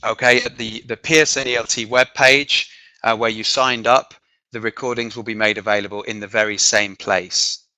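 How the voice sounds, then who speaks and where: Man, English, southern with northern traces, webinar presenter, bright forward tone, dry close sound, frequent "okay?", filler ums. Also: on the low side, fairly even intonation, normal speed, no room sound, low-quality recording. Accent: mixed southern and northern English. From south of England. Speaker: webinar presenter, teacher trainer. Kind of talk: online teaching webinar. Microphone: computer microphone on a video call.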